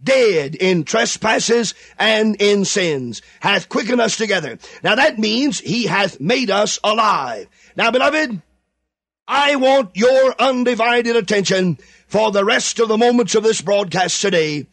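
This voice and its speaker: Fiery male radio preacher, high-pitched and animated, hammering phrases with repetition. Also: no room sound. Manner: impassioned, rising to shouts then dropping low; drawn-out emphatic repetition. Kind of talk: fervent radio sermon.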